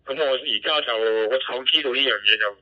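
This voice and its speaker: A man with a Hong Kong Cantonese accent chatting casually as an amateur operator over a two-way digital radio. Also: fairly quick. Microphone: radio transceiver microphone. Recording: muffled low-fidelity digital radio transmission.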